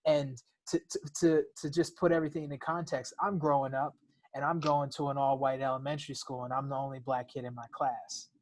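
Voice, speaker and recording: A man's voice: mid-range voice, little pitch movement, fairly quick. A man co-hosting a podcast, speaking reflectively in casual conversation, with frequent restarts and repeated words. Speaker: podcast co-host.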